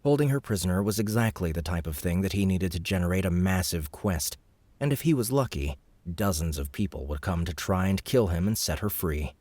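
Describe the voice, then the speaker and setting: A man narrating an audiobook in a steady, measured storytelling manner, close to the mic. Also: low-pitched, no echo. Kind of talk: scripted narration.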